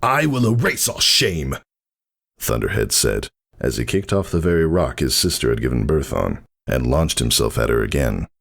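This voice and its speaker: A man's voice: a bass voice, vocal fry. A man narrating a story as a dramatic reading, solemn and theatrical. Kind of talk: dramatic scripted fan fiction narration.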